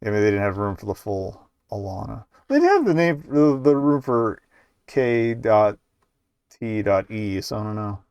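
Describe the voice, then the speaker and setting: A man's voice: lowish voice, big pitch swings, moderate pace, darkish tone, clear, resonant tone, no room sound, muffled recording. A man giving casual live game commentary as a streamer, rambling and hesitant, with muttered asides.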